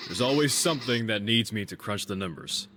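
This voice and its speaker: An actor playing a shrewd, world-weary male sovereign in a fantasy game, speaking in a measured, self-assured way. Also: lowish voice, animated, noisy background, natural voice. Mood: dry, weary. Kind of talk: voiced fantasy game dialogue.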